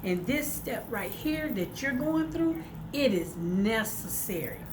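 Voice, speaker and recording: A woman's voice: on the high side, very animated, takes it slowly, noisy background. A woman with a congested, stuffed-up voice, talking casually and encouragingly to her viewers at home, full of fillers. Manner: chatty and informal, addresses viewers as y'all, many fillers. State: congested from sinuses. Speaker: vlogger. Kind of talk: casual motivational vlog to viewers. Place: at home, by a window.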